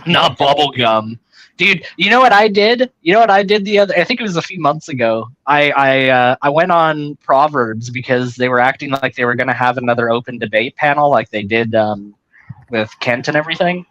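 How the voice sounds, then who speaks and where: A man's voice: medium pitch, expressive, fairly quick, fairly bright tone, no room sound, dull audio. A man chatting casually on a group call, joking and self-deprecating. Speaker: small YouTuber on a group call. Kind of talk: casual chat.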